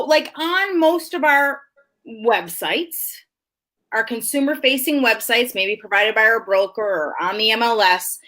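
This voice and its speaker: Woman, co-host, very bright tone, higher pitch, casual commentary, emphatic. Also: low-quality recording. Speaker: co-host, realtor.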